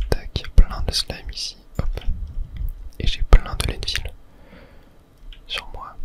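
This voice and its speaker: A video host gives ASMR gaming commentary entirely in a whisper. The delivery is casual and unplanned, murmuring each thought aloud and dotting it with tiny filler words.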